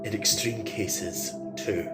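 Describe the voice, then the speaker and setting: An actor plays a weary, defensive male survivor in an audio drama. He speaks very slowly and emphatically, with halting phrases, in an echoey space with music behind him. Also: fairly low pitch. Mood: sombre, earnest. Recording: roomy echo with music behind.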